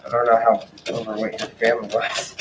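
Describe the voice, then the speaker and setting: A man's voice: lowish voice, completely flat delivery, fairly quick. A young man chatting loosely and joking as a co-host in a hot studio. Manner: joking, loose, laughs often. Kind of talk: casual chat. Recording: echoey room with loud noise.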